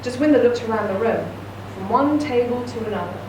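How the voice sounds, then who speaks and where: A woman's voice: fairly high pitch, brisk. A woman reading a short story aloud at a live reading event, narrating steadily. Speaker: reader. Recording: echoey room, distant mic, noisy.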